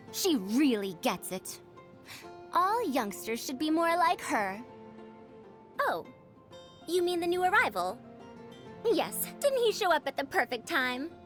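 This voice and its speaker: A woman's voice: sits high, a bit bright. A woman voicing a proud, fussy century-old scholar with a youthful girlish voice, pompous and lecturing, for a video game. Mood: approving, then animated, curious. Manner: pompous, lecturing, old-fashioned elder phrasing. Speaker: game character voice. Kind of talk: animated video game voice lines.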